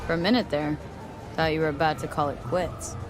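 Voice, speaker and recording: A woman's voice: sits low, steady tone, a little fast, over music, close to an everyday voice. An actress playing a streetwise, dry-witted female mercenary in a video game, talking in a cool, wry, casual way. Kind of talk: video game cutscene acting.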